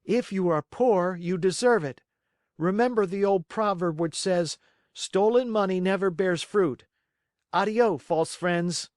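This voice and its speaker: A man voicing an eager young wooden marionette boy with a bright, earnest voice. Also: sits high, unhurried, dry recording, studio quality, pitched above the performer's own voice. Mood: scornful.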